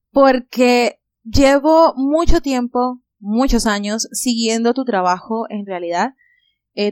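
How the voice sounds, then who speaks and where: A woman's voice: on the high side, darkish tone, no room sound. A woman hosting an interview, introducing her guest with admiring words and frequent fillers. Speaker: host. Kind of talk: interview podcast.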